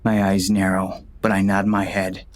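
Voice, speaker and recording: A man's voice: sits low, completely flat delivery, moderate pace, lightly acted. An actor playing a hard-bitten western sheriff and grieving, furious father, narrating a dramatic audiobook in an intense, menacing way. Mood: grim.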